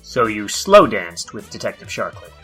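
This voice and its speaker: A man's voice: lowish voice, sing-song, moderate pace, a bit bright. A man playing a dry, sardonic detective interrogating a suspect in a comedic noir audio drama. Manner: sardonic, skeptical. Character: dry, exasperated male police detective interrogating a criminal. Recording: music bed under the voice.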